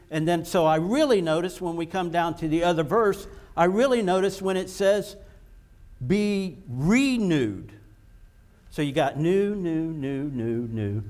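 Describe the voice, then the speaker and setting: A man preaching a sermon, slow and emphatic, repeating words for stress. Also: a little high, darkish tone.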